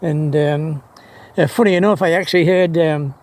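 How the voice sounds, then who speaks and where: A man's voice: on the high side, fairly even intonation, normal speed, darkish tone. A man rambling casually and enthusiastically about his hobby, often repeating words as he hesitates. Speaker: amateur radio hobbyist vlogger.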